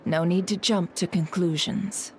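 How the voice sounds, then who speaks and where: A woman playing a shrewd, composed information broker in a video game, speaking in a cool, measured, knowing way. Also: fairly low pitch, fairly even intonation, darkish tone, slight background noise.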